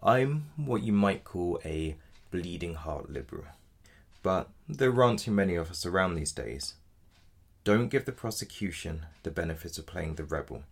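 Defence lawyer for a male actor: very low, creaky voice, measured, cautious, earnest. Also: lively intonation. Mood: cautioning. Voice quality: deep, gravelly. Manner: formal, measured advocacy. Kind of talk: audio drama acting.

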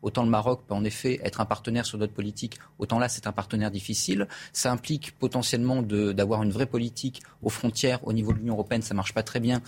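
A man giving measured analysis as a debate guest, hesitating often as he lays out options. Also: on the low side, steady tone, husky. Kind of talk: studio debate.

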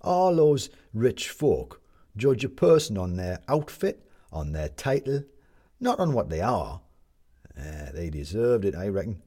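A man's voice: fairly low pitch, unhurried, husky, exaggerated voice. A man voicing a cheery, talkative stranger in a café queue who loves gossip. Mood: chatty. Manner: chatty and excitable.

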